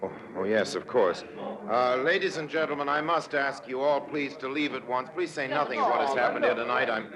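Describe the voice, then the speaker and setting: A man playing a suave, sardonic con artist in a 1950s radio drama, with wry, theatrical, deliberate phrasing. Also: medium pitch, a crowd in the background, natural voice. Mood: feigned alarm.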